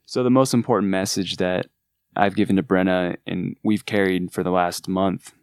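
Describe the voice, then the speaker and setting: A man with a very low voice and a relaxed Californian surfer accent talks earnestly about his work. He restarts his sentences often but keeps his enthusiasm. The recording is close and completely dry. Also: moderate pace, smooth, no echo. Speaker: artist manager. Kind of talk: casual podcast chat.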